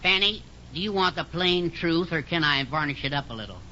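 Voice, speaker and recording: An actor playing a wry, folksy, wisecracking business partner in a 1940s radio situation comedy. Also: male voice, fairly high pitch, lively intonation, a little fast, bright, forceful tone. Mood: dry wisecracking. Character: wisecracking, easygoing office partner. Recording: muffled 1940s radio recording, faint noise.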